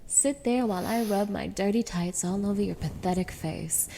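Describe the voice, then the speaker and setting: A woman plays a haughty, mocking young woman in a scripted audio roleplay, addressing the listener with open contempt. Her mid-pitched voice has a gravelly creak as she taunts and gives orders. Long reverb surrounds every word. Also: moderate pace, dark tone, slight background noise, natural voice. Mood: scornful, menacing. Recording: reverberant, echoing room.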